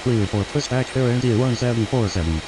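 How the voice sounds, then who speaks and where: A man of medium pitch delivers air traffic radio calls as a pilot. His delivery is formulaic and procedural. Very loud machinery-like noise runs behind his voice. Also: a little fast.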